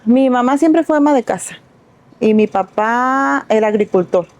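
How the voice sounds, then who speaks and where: A woman's voice: on the high side, moderate pace, darkish tone. A middle-aged woman from Sinaloa, reflectively telling her life story as a guest in an interview, with small restarts. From Sinaloa, Mexico. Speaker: guest, entrepreneur.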